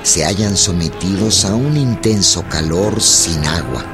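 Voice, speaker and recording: Slow, deep male narrator. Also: lively intonation. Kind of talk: scripted dramatic narration of historical radio drama. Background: music under the voice.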